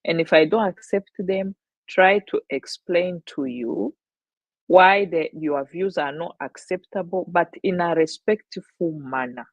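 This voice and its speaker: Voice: mid-range voice, a little slow, no room sound. Reflective host with a Rwandan accent, dull dry sound. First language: Kinyarwanda. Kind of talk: casual discussion.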